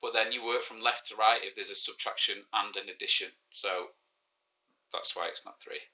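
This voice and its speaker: A man in his forties with a clear Manchester accent hosts a live maths quiz for children. His voice is bright and forceful, and he talks in easy, joking banter, often teasing himself. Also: on the low side, fairly even intonation, moderate pace, low-quality recording. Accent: Mancunian. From Manchester, England. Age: 40s. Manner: joking, self-mocking banter. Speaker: teacher hosting a live quiz. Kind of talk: casual livestreamed lesson.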